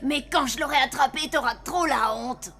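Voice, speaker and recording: A woman's voice: high-pitched, moderate pace, sharp tone. A stubborn little zombie boy. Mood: insistent. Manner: insistent, argumentative. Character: stubborn young zombie boy, eager and defiant. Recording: cartoon dub with faint music.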